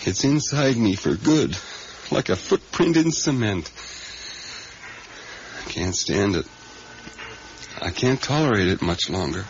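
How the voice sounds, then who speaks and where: A brooding, obsessive country diner worker in a 1980s radio drama. He speaks slowly and plainly, circling back over his words with uneasy intensity. The recording is muffled, with some background noise. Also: lowish voice, lively intonation. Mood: agitated, anguished. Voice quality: folksy, plain-spoken rural speech. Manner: hesitant, repetitive, intense storytelling.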